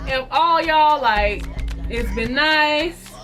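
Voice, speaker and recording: A woman with a fairly high voice tells a casual story to her viewers. She is sassy and slangy, stretching words for emphasis and slipping into mimicry. Music plays clearly behind her. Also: drawn-out words, fairly bright tone. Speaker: storytime vlogger. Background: background music.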